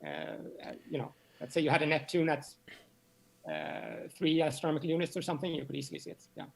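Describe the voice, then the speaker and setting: A man speaking as an expert interview guest, explaining in a halting way and often repeating words as he searches for phrasing. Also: a little high, expressive, creaky. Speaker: interview guest, astronomy professor.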